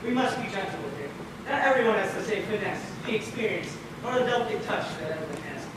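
A young man acts a bossy, self-important radio chief on stage. His voice echoes heavily around the hall, picked up from far away with clear background noise. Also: high-pitched, animated, fast. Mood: impatient. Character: fussy, bossy, self-important male radio show boss. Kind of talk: amateur stage play acting. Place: theatre stage. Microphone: distant stage microphones.

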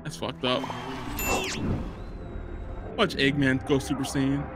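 A young man giving excitable, slangy casual commentary as he reacts to a movie, close on the microphone. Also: mid-range voice, slow pace, music in the background. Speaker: reaction video host. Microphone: close microphone on boom arm, headset on. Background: film soundtrack playing.

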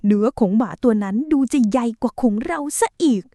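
A woman's voice: a little high, lively intonation, a little fast, dark tone, no echo, studio quality, voice pushed down, natural voice. A woman voicing a greedy, hungry dog musing to itself in a children's storybook tale. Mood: greedy, scheming. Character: greedy hungry dog, scheming then rueful.

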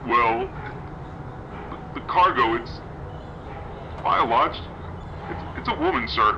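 Jumpy, obedient trooper, played by a man, mid-range, lively intonation, audio drama with clear noise behind. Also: medium pitch, slow pace, muffled recording. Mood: nervous, hesitant. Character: jumpy male soldier, deferential subordinate to his captain. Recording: noisy gunfire and vehicle ambience behind.

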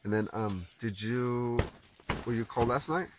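A man's voice: medium pitch, measured pace, noisy background. A man in his thirties, a father vlogging a family camping trip outdoors and chatting with his small kids, with the camera held at arm's length. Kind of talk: casual vlog narration to camera. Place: outdoor campsite in the woods.

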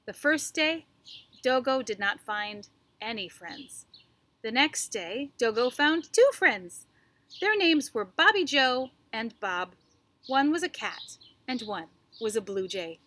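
A middle-aged woman reads a children's story aloud in an expressive, animated way, with deliberate enunciation and dramatic pauses. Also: fairly high pitch. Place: outdoors on a backyard wooden deck. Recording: faint outdoor background noise with birdsong.

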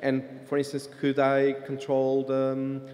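A middle-aged man asking an unscripted question from the audience at a stand microphone, halting as he thinks aloud. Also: medium pitch, completely flat delivery, darkish tone. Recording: reverberant hall PA sound.

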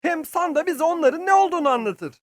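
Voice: extremely high pitch, animated, fast, no room sound, studio quality. An actor voicing a small, eager, friendly worm creature in a children's cartoon, with an exclamatory, childlike delivery. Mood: cheerful, eager.

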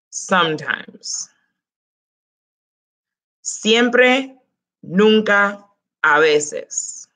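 A woman's voice: on the low side, poor audio. Chatty woman teaching Spanish by webcam. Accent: Dominican Spanish in Spanish words. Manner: lively, chatty, joking asides to students. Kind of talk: online class lesson. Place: small home room. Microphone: webcam close up.

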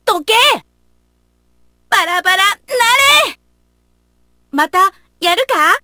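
A woman's voice: very high voice, lively intonation, slow pace, bright, forceful tone, dry recording, studio quality, full character voice. A woman voicing a wild, simple-minded feral child warrior in a video game, speaking in blunt broken phrases with beast-like growls. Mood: fierce, blunt. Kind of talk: Japanese video game battle voice lines.

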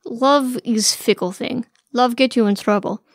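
A woman narrator acts out an old Russian maidservant in a storybook tale. She is motherly and weary, speaking plain broken English with a thick accent. The sound is dry and close, with a creaky edge. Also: fairly high pitch, a little slow, no echo. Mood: wry, advising.

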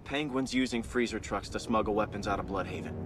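A man's voice: lowish voice, fast, music in the background, close to an everyday voice. A man as a cocky young sidekick. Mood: wry, earnest. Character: cocky, loyal young male crimefighting sidekick.

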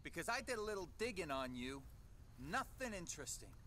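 A defiant, probing soldier in a war game. His high, forceful voice moves widely in pitch as he makes accusations, with music underneath. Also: sing-song, brisk, a little hiss or hum. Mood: probing, sardonic. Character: defiant male soldier interrogating a traitor.

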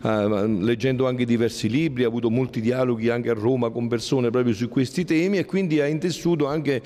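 A middle-aged man, a friar and exorcist, answering interview questions earnestly, often pausing with fillers and restarting his phrases. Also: medium pitch, mellow, long reverb tail. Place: hall.

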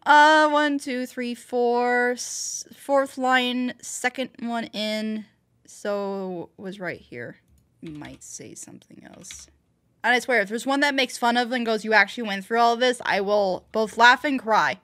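A woman's voice: on the high side, unhurried, a bit bright. A woman hosting a reaction video, thinking aloud in rambling, casual commentary with bursts of exclamation.

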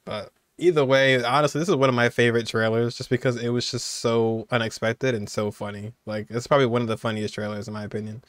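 A man's voice: medium pitch, steady tone, fast, few pauses, dry recording. A young man giving casual reaction commentary as a video host, informal and amused. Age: young adult.

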